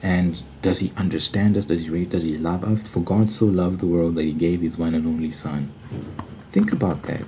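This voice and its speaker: A man's voice: deep voice, fairly even intonation, normal speed, mellow, a little hiss or hum. A man giving an informal, earnest Bible study monologue in an ASMR style, repeating phrases for emphasis.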